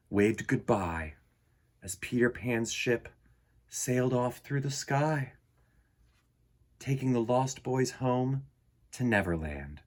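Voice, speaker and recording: A man hosting a children's storytime, reading and talking in a theatrical, expressive way with dramatic pauses. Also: lowish voice. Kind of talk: animated storybook narration for children.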